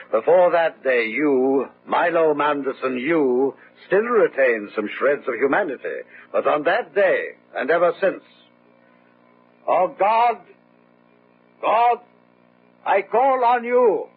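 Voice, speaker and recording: An actor playing a grieving, righteous widower in a radio drama, solemn and oratorical like a preacher. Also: male voice, medium pitch, husky. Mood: grave, accusing. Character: grieving, righteous widower passionately rebuking his master. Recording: narrow-band old radio recording.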